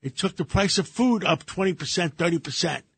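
An older man born in Greece, speaking English as his second language, talks through a radio segment in halting bursts. He stops and restarts often, his voice sitting a bit high. The sound is dry and close. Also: animated, slightly rough, dry recording. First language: Greek. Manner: halting, many fillers and restarts. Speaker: radio show co-host. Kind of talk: casual radio talk.

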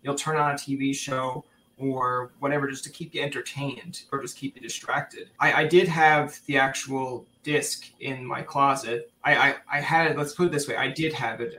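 Male podcast host from Newfoundland, casual and rambling, bright edge to the voice. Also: medium pitch, a little hiss or hum, poor audio. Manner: chatty and rambling, with many restarts and laughs. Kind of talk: casual interview chat.

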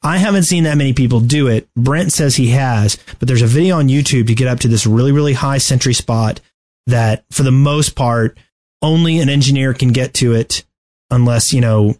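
A man co-hosting a casual video game discussion, choosing his words in a halting, deliberate way. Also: medium pitch, darkish tone. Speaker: podcast co-host.

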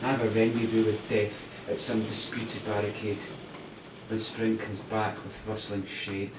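An actor playing a resentful, sardonic outsider with an accusing manner in a naturalistic audio drama. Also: male voice, lowish voice, completely flat delivery, unhurried, lightly acted. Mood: brooding recitation. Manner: sarcastic, accusing. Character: resentful, sardonic outsider man needling privileged hosts. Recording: roomy, distant, faintly noisy recording.

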